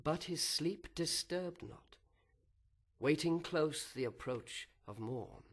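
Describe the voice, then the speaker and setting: A man narrating literary verse dramatically, measured and solemn, with deliberate pauses. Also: on the high side, expressive, husky.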